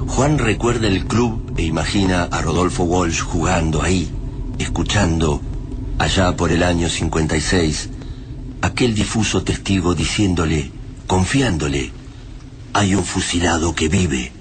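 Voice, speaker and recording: A man's voice: sits low, a little slow, background noise. A man with an Argentine accent narrating a dystopian radio drama in a grave, literary manner. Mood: somber, literary.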